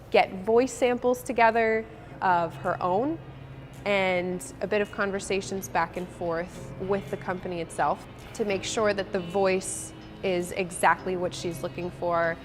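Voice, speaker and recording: A woman calmly explaining the work behind a custom voice. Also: medium pitch, a little slow, soft background music.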